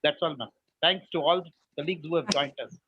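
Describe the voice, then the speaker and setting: An Indian man who teaches English and maths speaks earnestly to colleagues in an online round table. His voice sits a bit high for a man. The call audio is thin, phone-like and completely dry. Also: fast talker, pushed and bright, slightly rough, no room sound. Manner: earnest, speechmaking. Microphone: video call.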